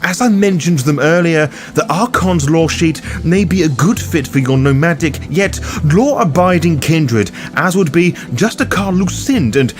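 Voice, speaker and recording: Higher-pitched man narrating a scripted guide. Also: lively intonation, a little slow, few pauses. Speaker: host of a roleplaying guide series. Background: background music.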